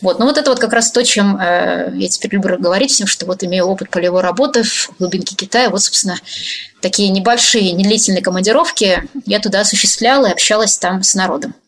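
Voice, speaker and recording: A woman's voice: medium pitch, fast talker. A woman researcher answering interview questions thoughtfully, with many fillers and restarts.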